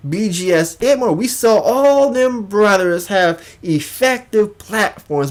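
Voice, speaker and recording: A livestream host giving casual commentary in an emphatic way, often repeating phrases for emphasis. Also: medium pitch.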